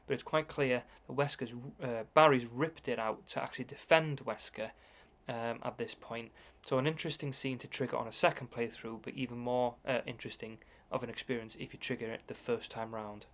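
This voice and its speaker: Medium-pitched man giving game commentary. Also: fairly even intonation, a little fast, a bit bright. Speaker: gaming video commentator. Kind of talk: explanatory voice-over commentary.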